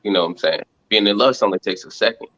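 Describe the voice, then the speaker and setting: A man, answering casual interview questions in a halting, reflective way, thinking aloud. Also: lowish voice, fairly bright tone, dull audio. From Montgomery, Alabama, United States. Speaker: reality show contestant interviewed as guest.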